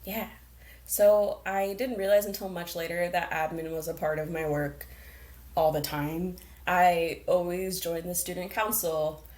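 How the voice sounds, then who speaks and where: An earnest young woman speaking in a somewhat low, grounded voice as an interview guest. She talks reflectively and often breaks into light laughter. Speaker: programs manager, guest. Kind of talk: interview conversation.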